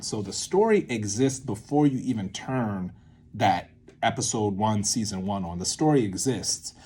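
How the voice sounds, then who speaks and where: A man giving an informal creative lesson to camera, thinking aloud in an animated way with swings in emphasis. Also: lowish voice, breathy edge. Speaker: creator and host teaching a creative method. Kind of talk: informal instructional monologue to camera.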